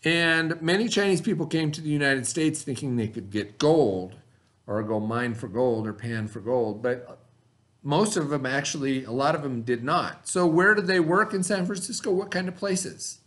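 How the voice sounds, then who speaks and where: A man's voice: fairly high pitch, normal speed, fairly bright tone. A man speaking as a teacher in a read-aloud lesson, explaining simply and posing questions that he answers himself.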